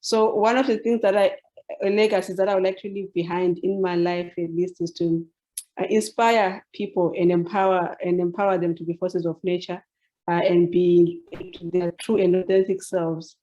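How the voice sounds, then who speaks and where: Female presenter, Zimbabwean accent, warm dark tone, lower register, self-introduction at a webinar. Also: steady tone, moderate pace, highs cut off. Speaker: journalist and science communication presenter.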